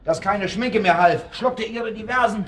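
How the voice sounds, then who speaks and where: An actor playing a worldly, condescending club man in a radio drama, rambling on and breaking off to hush his dog. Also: high voice, moderate pace. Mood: disparaging. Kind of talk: naturalistic German radio drama acting.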